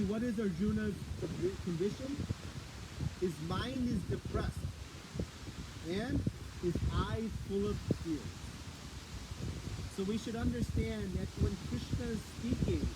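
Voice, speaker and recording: Man, high voice, scripture class, outdoor microphone, loud wind noise. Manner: conversational, asks the audience questions. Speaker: spiritual teacher. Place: outdoors, windy. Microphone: battery microphone, cutting in and out.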